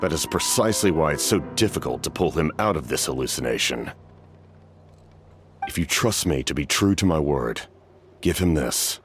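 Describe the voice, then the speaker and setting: A man voicing a stern, composed warrior captain in a video game, speaking in a formal, clinical, measured way. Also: a bass voice, expressive. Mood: grave, clinical. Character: stern, centuries-old imposing warrior captain, grave and composed. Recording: music behind the voice.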